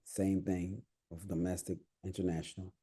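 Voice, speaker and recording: A man's voice: deep voice, level tone, moderate pace, warm tone, breathy edge. A man from the United States with no accent, answering interview questions in a reflective, advice-giving way with frequent restarts. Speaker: podcast guest.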